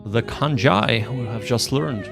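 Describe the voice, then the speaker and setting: A man's voice: mid-range voice, measured pace, gravelly. A man hosting a livestream, chatting casually and jokingly with viewers and often repeating short phrases. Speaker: livestream host. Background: faint music.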